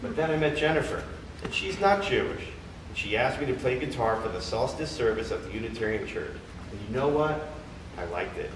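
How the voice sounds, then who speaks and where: A man's voice: mid-range voice, animated, brisk, close to an everyday voice. A man acting on stage as a conflicted, exasperated younger man, emphatic and questioning. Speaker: stage actor in a staged play reading. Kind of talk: live theater comedy acting. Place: theater or temple hall, far microphone. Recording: echoey hall from far off, noisy.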